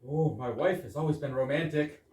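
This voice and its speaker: A man's voice: fairly high pitch, fairly quick, a little mellow, lightly acted. A man in amateur readers' theater playing a proud, pompous English husband in an absurdist comedy. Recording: echoey room, far mic, faint noise.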